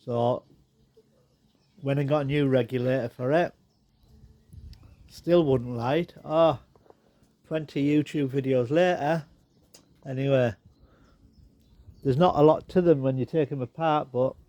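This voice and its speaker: A man's voice: mid-range voice, unhurried, long pauses, mellow. A man with a bit of a blocked nose, chatting casually and rambling wryly as he walks around his garden. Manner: chatty, rambling, wry asides. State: a bit bunged up. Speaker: garden vlogger. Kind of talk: casual walk-and-talk garden tour. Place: outdoors in a garden. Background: birds and animals.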